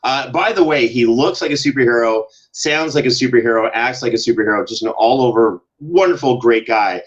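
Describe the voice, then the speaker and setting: A man's voice: medium pitch, brisk. A man speaking casually as a podcast guest and producer in an interview. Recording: dull, lo-fi sound.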